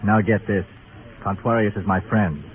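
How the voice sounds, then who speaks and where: An American actor playing a curt, guarded and loyal roulette croupier in an old radio western. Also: male voice, fairly low pitch, steady tone, moderate pace, slight background noise, close to an everyday voice. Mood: guarded, indignant. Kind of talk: old radio drama acting. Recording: tinny old radio recording.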